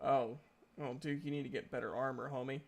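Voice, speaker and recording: A man narrating a tabletop game as game master in a halting, informal, slangy way. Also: medium pitch, fairly even intonation, creaky, a loud crowd.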